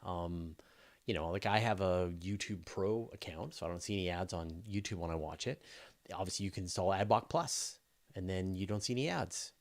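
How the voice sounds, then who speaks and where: A man with a fairly low voice hosts an informal live Q&A. He talks casually, working through his thoughts out loud in broken, conversational phrases. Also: normal speed. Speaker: host of a space news channel.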